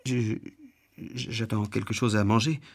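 A man's voice: medium pitch, fairly quick, pitched above the performer's own voice, natural voice. A man voicing a hungry, wily vagabond in a storybook reading, speaking politely with feigned humility. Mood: meek pleading. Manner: soft, polite, pleading. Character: hungry, wily, falsely humble male vagabond.

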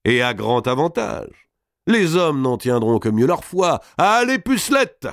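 A man's voice: fairly high pitch, big pitch swings, a little slow, creaky, no room sound, studio quality, voice pushed up, full character voice. A man voicing a fervent, triumphant nobleman who orates in a solemn, courtly, declamatory way in an audiobook story. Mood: courtly, urging. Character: fervent, triumphant nobleman orating grandly at a feast.

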